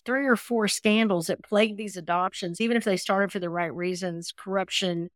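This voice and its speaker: A woman's voice: medium pitch, normal speed, dry recording, studio quality. A woman in her sixties telling personal stories as an interview guest, earnest and talkative. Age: 60s. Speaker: interview guest, adoptee advocate. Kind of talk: conversational personal storytelling.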